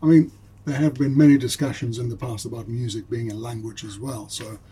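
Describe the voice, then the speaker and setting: A man's voice: medium pitch, fairly even intonation, brisk, warm tone, slight background noise. A man whose first language is German, speaking English in a hesitant way with many fillers, chatting with colleagues in an academic meeting. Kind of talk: casual academic discussion.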